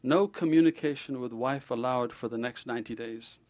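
A man's voice: medium pitch, moderate pace, close to an everyday voice. A man doing a jovial, teasing surgeon. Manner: lively, teasing, theatrical storytelling. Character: jovial blunt male surgeon, middle-aged.

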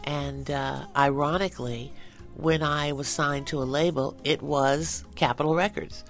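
A middle-aged woman singer, a guest in a conversational interview, animated and quick to laugh. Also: low voice, faint music behind. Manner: animated, laughs easily, talks with passion.

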